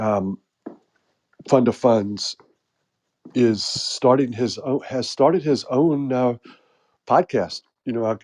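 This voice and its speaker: A man's voice: mid-range voice, darkish tone. A man with an American accent hosting a casual group chat, rambling and joking with lots of fillers. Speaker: host.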